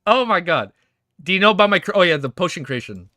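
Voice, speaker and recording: Young male streamer in his twenties: bright voice, dry close-mic sound, loose rambling chat full of swearing. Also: high voice, sing-song, no room sound. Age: 20s. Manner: rambling, swearing, quick to laugh. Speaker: livestream host. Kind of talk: casual livestream chat and reading aloud.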